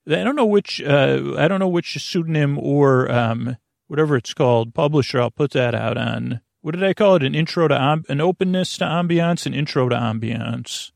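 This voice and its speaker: A gravelly-voiced man hosts a sleep podcast, drifting from thought to thought in a halting, unhurried ramble. He keeps catching and correcting his own words. The sound is dry and close, with no room around it. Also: fairly high pitch, no echo. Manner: meandering, halting, self-correcting, drowsy. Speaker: podcast host. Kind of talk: rambling bedtime podcast intro.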